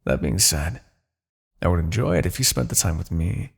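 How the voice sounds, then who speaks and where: A man's voice: low-pitched, expressive, normal speed, vocal fry, husky, exaggerated voice. A man playing a smug, possessive demon king in an ASMR roleplay, speaking with haughty, theatrical formality. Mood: calm, menacing. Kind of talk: ASMR roleplay, dramatic character acting.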